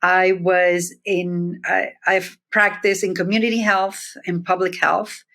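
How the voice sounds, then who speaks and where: Middle-aged woman, Puerto Rican-born, low voice, reflective, halting with fillers. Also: level tone. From Puerto Rico, raised in New Jersey. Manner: warm, reflective, with frequent fillers and restarts. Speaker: nurse executive, guest. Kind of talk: interview conversation.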